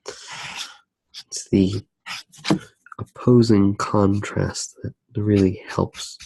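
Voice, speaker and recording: A soft-spoken man narrating a drawing step by step in a hushed, unhurried ASMR style. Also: lowish voice, flat delivery, gravelly, slightly rough, no echo, low-quality recording.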